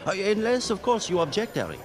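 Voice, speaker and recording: An actor playing a charming, flirtatious French ski lodge host in a radio drama, suave and courtly with a French accent. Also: male voice, high-pitched, wildly swooping pitch, fairly quick. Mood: gallant, playful.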